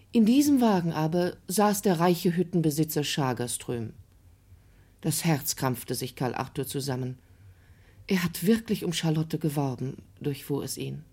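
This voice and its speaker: A woman's voice: sits low, a little mellow, husky. A woman giving dramatic literary narration in a radio drama.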